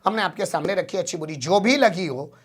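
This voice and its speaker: A man's voice: sits high, lively intonation, brisk, fairly bright tone, breathy edge. A man presenting news commentary in an emphatic way, posing rhetorical questions as he goes.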